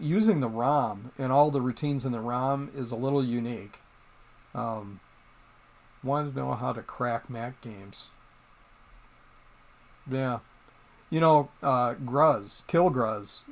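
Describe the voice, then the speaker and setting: A middle-aged man from Michigan hosting a casual live stream, rambling with plenty of pauses and restarts as he talks to viewers in chat. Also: medium pitch. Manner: rambling, hesitant, many ums and restarts.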